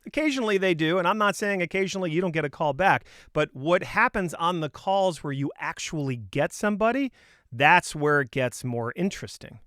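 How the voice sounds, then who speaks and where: A man's voice: a little high, expressive, fairly quick, a bit bright, no room sound, clean studio sound. A man coaching on sales in an informal, opinionated talk, speaking emphatically and conversationally with punchy rhetorical questions.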